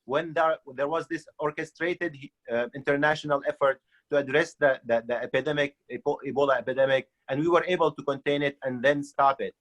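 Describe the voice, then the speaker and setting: A man's voice: on the high side, steady tone, a little fast, fairly bright tone. A man from Syria with an Arabic accent, making earnest, unscripted panel remarks with hesitant starts.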